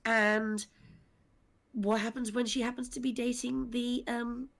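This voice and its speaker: A woman's voice: on the high side, little pitch movement, measured pace, fairly bright tone. Woman, articulate, earnest, expansive explanations, panel discussion.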